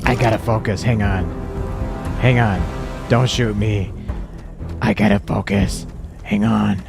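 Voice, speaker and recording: A man hosting a relaxed ASMR gaming livestream, soft-spoken, reacting in slow drawn-out words. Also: fairly low pitch, creaky. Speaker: streamer. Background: game music.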